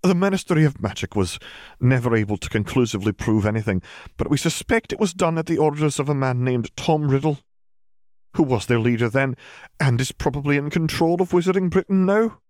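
A stern older witch professor, played by a man in an audiobook story. The voice is lifted high and sounds close and dry. She speaks formally and gravely, in measured explanations. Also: lively intonation, a little mellow, no echo, close to an everyday voice.

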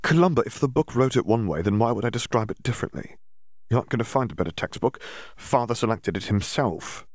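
A man's voice: medium pitch, lively intonation, a little fast, no echo, clean studio sound, voice pushed up, natural voice. Man voicing a smug, dismissive teenage schoolboy. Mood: impatient. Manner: dismissive, self-assured.

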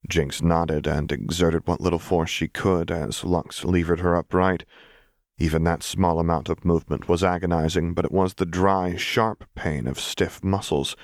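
A man's voice: low-pitched, no echo, clean studio sound. A man narrating dramatic fiction as a scripted audiobook reading.

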